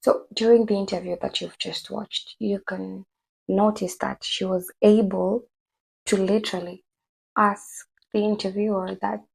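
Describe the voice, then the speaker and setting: A woman talking casually to her viewers, chatty and rambling with many fillers, her voice hoarse from a cold. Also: mid-range voice, flat delivery, measured pace, poor audio. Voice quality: husky, gravelly. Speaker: vlogger. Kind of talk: casual commentary to camera.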